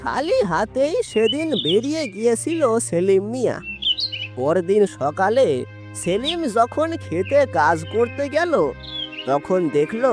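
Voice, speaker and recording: A woman storyteller giving a dramatic scripted narration of a horror story. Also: a little high. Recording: music behind. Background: music.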